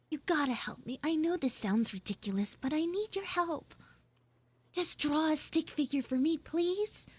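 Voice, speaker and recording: Woman acting a high-pitched, flustered tsundere schoolgirl. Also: no room sound. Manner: rambling, halting, stammering, dramatic pleading. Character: flustered, pleading tsundere schoolgirl with a frog on her head. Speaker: live audio roleplay streamer. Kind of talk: improvised live character roleplay.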